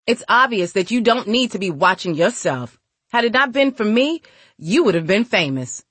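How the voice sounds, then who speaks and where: A woman's voice: mid-range voice, very animated, normal speed, a bit bright, husky, no echo, pitched above the performer's own voice. A woman voicing a cold, tough old woman in an audiobook, stern and menacing with street slang. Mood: stern disgust.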